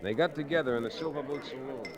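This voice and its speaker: An actor playing a determined, plain-spoken American frontier lawyer hero in an old-time radio Western. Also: male voice, mid-range voice, fast, crowd noise, lightly acted. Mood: steady narrating.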